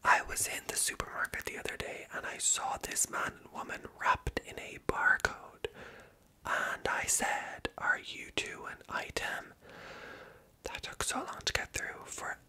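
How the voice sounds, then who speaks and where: A woman whispering softly as she reads jokes aloud and adds wry, self-mocking asides, stumbling over words now and then. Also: normal speed. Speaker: ASMR creator. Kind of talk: whispered ASMR commentary.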